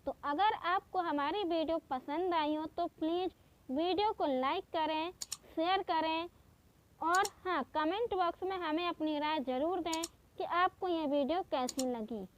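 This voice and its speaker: A woman teaching exam-prep memory tricks in a slow, patient way, repeating each step. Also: extremely high pitch, lo-fi sound, slight background noise. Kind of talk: exam-prep mnemonic lesson.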